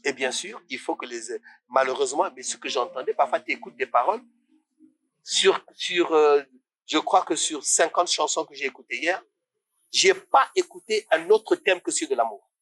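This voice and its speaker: A man's voice: fairly high pitch, normal speed, fairly bright tone. A man from Congo, a musician talking as a guest in a casual interview, passionate and rising to indignant questions.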